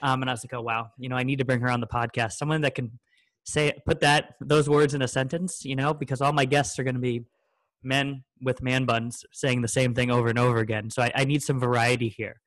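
A man's voice: medium pitch, flat delivery, fairly quick, fairly bright tone, dry recording, poor audio. A man in his thirties hosting a casual podcast, with a mid-range voice. He jokes loosely and fills his talk with ums and restarts. The sound is dry and close. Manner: joking, loose, with many fillers. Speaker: podcast host.

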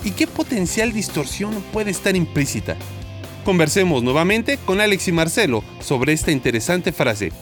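A man gives a scripted opening to a faith-based talk show. His voice sits high and moves a lot in pitch, which keeps the questions lively. Guitar music plays clearly behind him. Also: moderate pace. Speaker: host.

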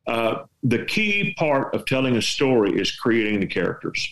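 A man's voice: mid-range voice, big pitch swings, moderate pace, creaky, a bit bright, poor audio. Man, West Texas accent, folksy, rambling, rhetorical questions, storytelling advice. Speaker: veteran storyteller and artist giving writing advice. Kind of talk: casual advice chat.

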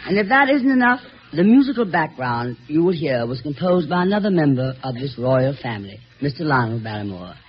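A man's voice: a little high, sing-song, brisk, hardly any pauses. A man giving a formal, reverent introduction as a presenter on a 1950s radio show. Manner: reverent, ceremonious. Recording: muffled old 1950s radio broadcast.

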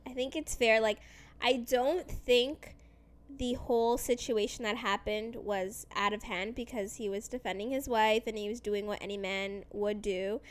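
A woman co-hosting a casual podcast chat, chatty and upbeat, her voice slightly hoarse from recovering from an illness. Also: fairly high pitch, steady tone, normal speed. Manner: chatty, upbeat, lots of fillers and laughs. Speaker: podcast co-host.

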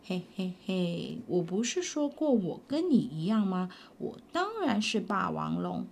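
A woman voicing a big male tyrannosaurus in a storybook, speaking low, slow and insistent. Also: very animated, vocal fry, a little mellow, slight background noise, full character voice. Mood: mocking.

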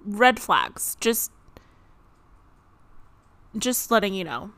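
A woman hosting her own podcast, rambling casually with lots of "like" and quick laughs, her voice a little strained. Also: mid-range voice, very animated, fairly bright tone, no room sound. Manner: rambling, chatty, frequent "like" and laughs. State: slightly strained voice. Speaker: podcast host. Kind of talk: casual solo podcast chat. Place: home, seated.

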